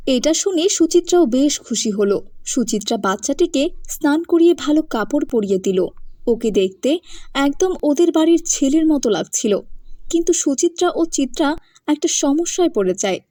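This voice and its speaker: A woman narrates a cartoon storybook tale from a script. Her voice is high yet mellow, recorded close and dry. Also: lively intonation, moderate pace, dry recording.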